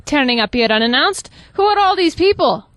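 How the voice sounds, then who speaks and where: A woman's voice: sits high, big pitch swings, brisk, fairly bright tone, no room sound. A woman playing a nosy, scolding old mother in an audio drama. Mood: indignant, surprised.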